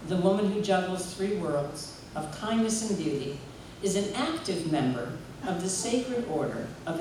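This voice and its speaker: A woman giving a warm, chatty tribute at a memorial gathering, telling stories and leading the room in song. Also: on the low side. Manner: warm, chatty, leads group singing. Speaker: memorial speaker and singer. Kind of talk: heartfelt spoken tribute. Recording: echoey hall from far off, noisy room.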